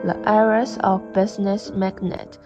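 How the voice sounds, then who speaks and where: A woman's voice: mid-range voice, little pitch movement, measured pace, low-quality recording. A young woman reading scripted narration for a student English radio drama. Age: young adult. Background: background music.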